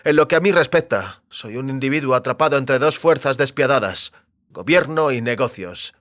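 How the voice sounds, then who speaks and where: An actor playing a wary, determined man trapped between powerful forces, speaking deliberately in an audio drama. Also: mid-range voice, lively intonation, a bit bright, no echo, clean studio sound, close to an everyday voice. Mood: wary, bitter.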